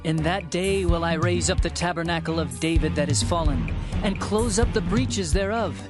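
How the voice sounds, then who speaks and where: High-pitched solemn male scripture narrator. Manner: solemn, declamatory, measured phrasing. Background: music.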